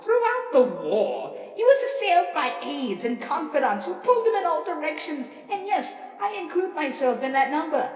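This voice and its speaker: A woman's voice: high-pitched, very animated, normal speed, hardly any pauses. A woman voicing a vain, grandiose robot leader testifying in court in a theatrical, pompous, oratorical dramatic reading. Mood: scornful, impassioned. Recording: echoey hall reverb, distant, music behind.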